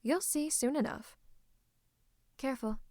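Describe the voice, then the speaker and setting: A woman's voice: high-pitched, measured pace, warm tone, no room sound, clean studio sound. A woman playing a scheming royal advisor and sorcerer in an ASMR roleplay, smug and mock-courteous, slipping into menacing whispers. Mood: gloating, hypnotic. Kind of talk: ASMR roleplay, theatrical monologue to the listener.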